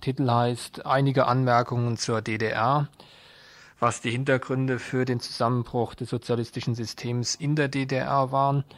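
A man's voice: mid-range voice, flat delivery, normal speed, a bit bright, no echo. A man presenting local radio news and putting questions to a guest as interviewer.

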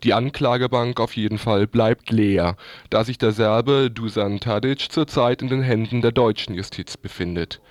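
A man's voice: medium pitch, moderate pace, no room sound, clean studio sound. A man presenting a scripted news report on the radio.

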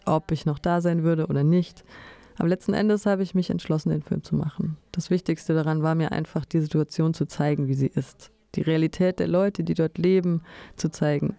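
A woman delivering a translated voice-over in measured, fluent and orderly sentences. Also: low-pitched, creaky, warm tone, no room sound. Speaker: voice-over interpreter.

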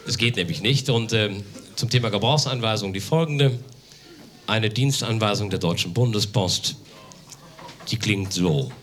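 A man from Germany doing a live comic reading on stage, deadpan and mock-formal with emphatic declamation. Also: lowish voice, unhurried. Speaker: comedic reader on stage. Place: live on stage in a theatre café, audience laughter behind.

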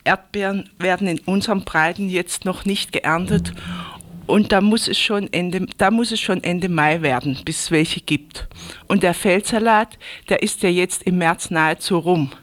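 A woman's voice: lowish voice, unhurried. A woman giving a radio market report as a scripted first-person column, chatty and wry in her storytelling.

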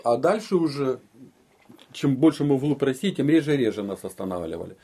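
A middle-aged man recounting his own experience in conversation, explaining step by step in a halting way. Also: mid-range voice, lively intonation, dark tone, slightly rough. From eastern Ukraine. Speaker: interviewee telling his escape story. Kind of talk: conversational personal recollection.

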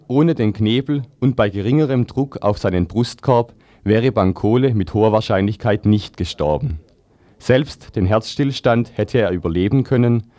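A man's voice: fairly low pitch, darkish tone, dry recording. A man reading scripted radio news in standard speech.

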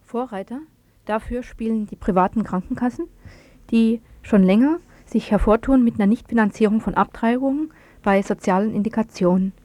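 Woman, radio presenter, scripted news narration, soft mellow tone, dry close sound. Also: on the high side, moderate pace, no echo.

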